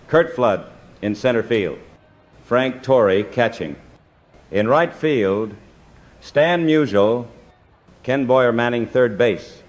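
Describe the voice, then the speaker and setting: A man doing baseball play-by-play commentary as the announcer, in measured, clipped phrases. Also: mid-range voice.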